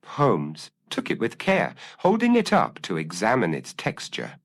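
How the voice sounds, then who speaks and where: A man narrating a scripted gothic mystery story in a measured, theatrical, formal storytelling manner. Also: on the low side, wildly swooping pitch, vocal fry, no room sound, clean studio sound. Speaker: audiobook narrator.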